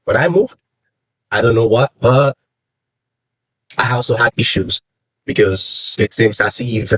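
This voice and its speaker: A man's voice: mid-range voice, a little slow, fairly bright tone, slightly rough, no echo, low-quality recording. A young man from Nigeria with a Yoruba accent, giving earnest personal advice in a vlog and repeating phrases for emphasis. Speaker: vlogger. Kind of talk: personal advice talk to camera.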